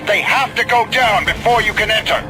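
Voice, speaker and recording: An actor playing an intense male fighter squadron leader giving clipped, forceful commands in a space combat game. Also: high-pitched, a little fast, close to an everyday voice. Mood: alarmed. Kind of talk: scripted game combat radio chatter. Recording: game music and vehicle noise behind.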